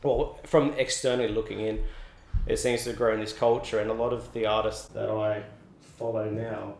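A man's voice: fairly low pitch, little pitch movement, moderate pace, creaky. A young Australian man, an artist, chatting in an interview in a loose, rambling way full of "like" and restarts. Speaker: artist guest. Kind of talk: casual interview. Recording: roomy echo.

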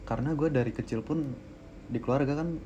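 A young man telling stories in casual, slangy podcast chat, with no regional accent and a relaxed manner. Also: mid-range voice, brisk, dull audio, background noise. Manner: laid-back storytelling, frequent restarts and laughs. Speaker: podcast co-host.

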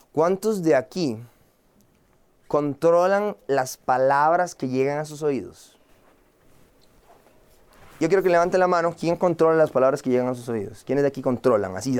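A man's voice: fairly high pitch, darkish tone, clean tone. Young Costa Rican man, motivational speaker. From Costa Rica. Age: young adult. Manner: rhetorical questions, acts out quoted voices. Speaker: guest speaker, entrepreneur. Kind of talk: motivational seminar talk to an audience. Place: room with a live audience.

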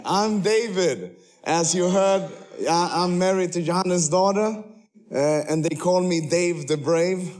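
A young man born in Sweden gives a casual, joking sermon as a guest missionary. His voice sits a little high for a man. He talks in a loose, friendly way with plenty of fillers. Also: a little mellow. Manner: chatty, joking, frequent laughs and fillers. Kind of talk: casual sermon to a church crowd. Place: church sanctuary.

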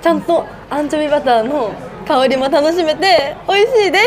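Woman, high voice, lively melody, giggly, polite, eager, casual chat while eating, noisy restaurant. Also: a little fast, music in the background. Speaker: podcast co-host. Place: indoors in an oyster restaurant. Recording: busy restaurant with chatter. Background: crowd chatter of a restaurant.

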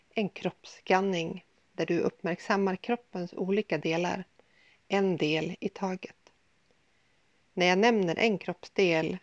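A woman guiding a yoga nidra body scan in a soothing, gentle, unhurried manner with pauses. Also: fairly low pitch, vocal fry, no echo, highs cut off. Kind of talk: guided meditation, body scan.

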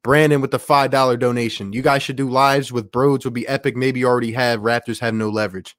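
A man hosts a live sports chat in a dry, close-sounding recording. His voice sits at an ordinary male pitch. He talks in slangy, exclamatory bursts, reading viewer messages and addressing everyone casually. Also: fairly even intonation, fast, a bit bright, dry recording. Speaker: livestream host.

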